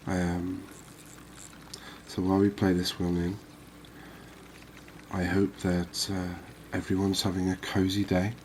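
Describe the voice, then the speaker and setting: A man doing soft-spoken ASMR game commentary in an unhurried, calming, reassuring manner. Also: low-pitched, a little hiss or hum, poor audio. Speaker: host of a cozy gaming channel.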